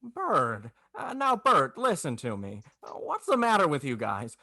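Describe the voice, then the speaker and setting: A man acts out a desperate family man in a 1940s-style radio play. His voice is bright and swings widely in pitch, stammering and pleading, recorded close and dry. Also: huge pitch swings, measured pace, gravelly, no room sound, heavily acted. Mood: frantic. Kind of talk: 1940s radio drama acting.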